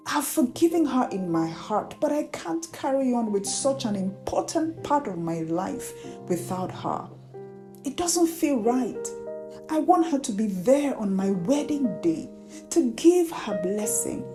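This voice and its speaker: A woman storyteller voicing a gentle, troubled young bride in a folktale, soft and confiding. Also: fairly high pitch, very animated, unhurried, over music. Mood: soft, wistful.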